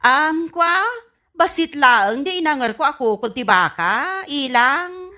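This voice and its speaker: Actress as bright, high-pitched stingy Ilocano housewife. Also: female voice, expressive, slow, heavily acted. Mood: boastful. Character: stingy, boastful housewife in a village comedy. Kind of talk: Ilocano radio comedy drama acting.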